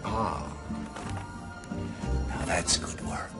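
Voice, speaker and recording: An actor playing a slow, ghoulish art lover in a comic audio ad, with music behind him. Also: male voice, deep voice, gravelly, exaggerated voice. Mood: ghoulish approval. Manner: slow, drawn-out delivery. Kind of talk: comic ad testimonial.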